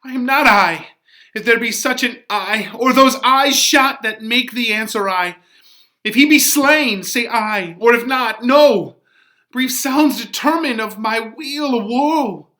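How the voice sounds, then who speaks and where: Guy doing a passionate young shakespeare heroine, high and forceful, super theatrical, anguished verse. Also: takes it slowly, heavily acted. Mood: anguished pleading. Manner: theatrical, declaiming verse with fierce emotion.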